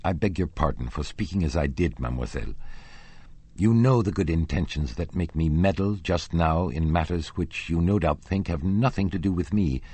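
Man playing an anguished young nobleman, impassioned and husky. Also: sits low, normal speed, darkish tone, no room sound. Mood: earnest, alarmed. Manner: earnest, formal, impassioned. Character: anguished, pleading young male lovesick nobleman.

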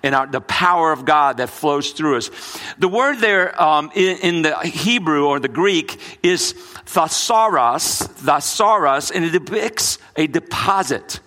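A man's voice: on the high side, expressive, measured pace, bright, forceful tone. A middle-aged man pastor with a mixed New Orleans and Brooklyn accent, preaching earnestly with frequent repeats and restarts. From United States. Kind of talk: sermon.

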